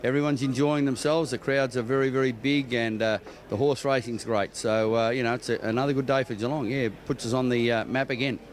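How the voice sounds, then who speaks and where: A man's voice: mid-range voice, fairly even intonation, brisk, few pauses. An Australian man giving a casual interview as a city mayor at an event.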